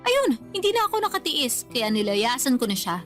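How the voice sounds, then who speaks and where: A high, youthful female voice plays an aggrieved daughter in a radio drama. She is whiny and defensive but stays respectful. Soft music runs under her lines. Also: sing-song, fairly quick. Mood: hurt, sulky. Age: young adult. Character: aggrieved grown daughter sulking over a lovers' quarrel.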